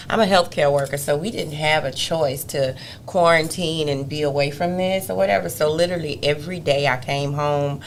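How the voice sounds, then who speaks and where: A deep-voiced woman talks about her life as an interview guest in a lively, expressive way. She laughs easily. A faint hum of noise sits behind her voice. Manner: expressive, laughs often, animated storyteller. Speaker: gospel singer and guest. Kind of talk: conversational interview storytelling.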